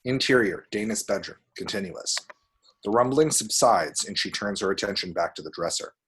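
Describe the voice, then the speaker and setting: Husky low-voiced man narrating a screenplay. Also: expressive, moderate pace, a bit bright. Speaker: reader of action descriptions. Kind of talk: dramatic screenplay table-read narration.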